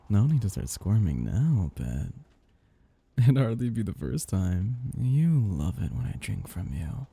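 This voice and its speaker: A man playing an ancient vampire master in an intimate ASMR roleplay, possessive and gently domineering, with a languid, teasing, velvety delivery. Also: on the low side, very animated, slow, gravelly, long pauses, husky, full character voice. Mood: seductive, menacing. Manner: languid, teasing, condescending endearments, soft chuckles.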